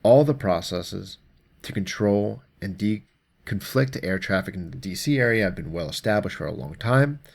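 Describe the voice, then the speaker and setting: A man with a very low, creaky voice hosts a casual explainer. He talks through the news informally and conversationally, moving between reading aloud and offhand commentary. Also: animated, normal speed. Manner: informal, conversational, mildly profane. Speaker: host of an explainer show.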